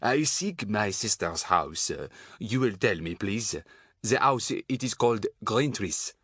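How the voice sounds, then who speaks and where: A man voicing an anxious, earnest foreign traveller with a French accent and halting, stilted phrasing, in a storybook. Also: on the low side, expressive, fairly bright tone, breathy edge, dry recording, clean studio sound, exaggerated voice.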